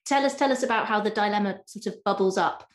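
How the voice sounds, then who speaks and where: A woman's voice: mid-range voice, quick pace. A woman hosting an interview, asking long questions with hesitant, stammering repetitions. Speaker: interviewer host. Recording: echoey room.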